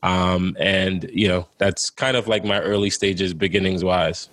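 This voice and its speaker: A young adult man from Jamaica, raised in New York City, telling his story in a relaxed, casual way with many fillers. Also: sits low, measured pace, dry recording. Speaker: guest entrepreneur.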